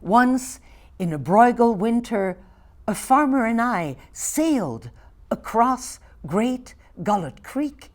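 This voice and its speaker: An elderly woman from Belgium in her eighties reads her poems aloud in a Flemish accent, English not being her first language. Her pace is slow and deliberate, soft and measured, and some passages sink to a near whisper. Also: fairly high pitch, big pitch swings. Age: 80s. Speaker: poet. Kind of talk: poetry reading.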